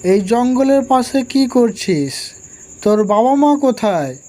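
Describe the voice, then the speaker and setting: A man voicing a concerned, kindly village uncle in a horror cartoon, speaking in a deliberate, questioning manner. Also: extremely high pitch, big pitch swings, mellow, a little hiss or hum, exaggerated voice. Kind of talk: animated horror cartoon dialogue. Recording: music under the voice.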